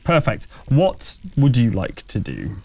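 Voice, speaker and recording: A man running a tabletop game as game master, narrating and refereeing conversationally, pausing as he thinks aloud. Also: mid-range voice, huge pitch swings, gravelly, darkish tone.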